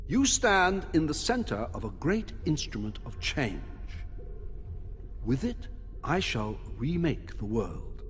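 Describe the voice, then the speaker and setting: A zealous tyrant lord from a video game, speaks slowly and solemnly. His grandiose oratory rises and falls widely. It rings in a large hall with music behind. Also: mid-range voice, a bit bright, heavily acted. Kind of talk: dramatic video game villain monologue.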